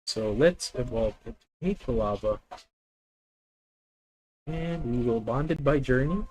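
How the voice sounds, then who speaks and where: A man's voice: mid-range voice, slow, darkish tone, rough recording. A man with a Filipino accent streaming a card game, methodically thinking aloud and correcting himself as he plans each move. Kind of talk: live deck-test commentary.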